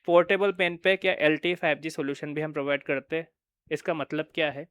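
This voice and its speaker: A man's voice: fairly high pitch, little pitch movement, fairly quick, fairly bright tone, clean tone, no room sound. A man with an Indian accent giving an informal product walkthrough, explaining and often checking in with listeners. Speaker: product presenter.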